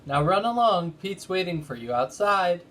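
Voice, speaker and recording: A man's voice: sits high, very animated, moderate pace, fairly bright tone, pitched above the performer's own voice. A man voicing a friendly, motherly woman innkeeper in a children's storybook. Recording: noticeable background noise.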